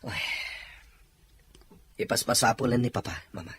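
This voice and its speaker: An actor with an Ilocano accent playing a discontented husband longing for a child in a radio drama. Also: male voice, mid-range voice, fairly even intonation, moderate pace, fairly bright tone, natural voice. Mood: hopeful, wistful.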